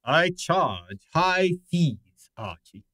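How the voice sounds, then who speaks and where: Guy doing a pompous grumpy big detective, formal, slow talker w/ very animated pitch, old radio play style. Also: no echo, exaggerated voice. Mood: haughty, irritable. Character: large, pompous, irritable male genius detective. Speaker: actor in an audio detective drama.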